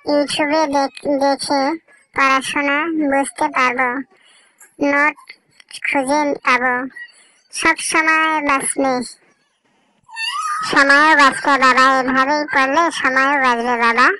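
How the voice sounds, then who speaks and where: A very high-pitched, bright schoolboy from a moral cartoon, acted out by a woman. He pleads earnestly and eagerly, drawing the words out slowly. There is some faint noise behind the voice. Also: steady tone, full character voice, poor audio. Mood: earnest persuading. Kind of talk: slow scripted dialogue in a moral cartoon.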